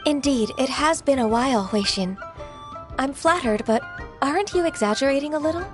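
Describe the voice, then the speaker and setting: High-voiced polite secretary. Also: female voice, lively intonation, moderate pace, music in the background. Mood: helpful, composed. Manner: polite, formal. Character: gentle, modest, dutiful young female secretary. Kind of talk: video game voice acting.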